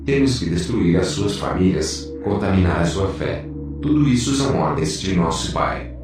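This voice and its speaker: A man playing a sinister, scheming demon in a dramatized religious testimony, speaking in a menacing, deliberate way. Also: low voice, lively intonation. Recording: distant, heavily echoing, music behind.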